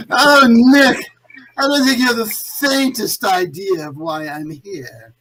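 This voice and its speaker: A man playing a suave, pompous escaped-convict crime boss in a 1940s radio drama, drawling with mock courtesy in a husky voice. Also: very high voice, slow, a bit bright, slight background noise, exaggerated voice, low-quality recording. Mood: mocking, menacing. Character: suave, theatrical, gloating male escaped-convict villain and gang boss.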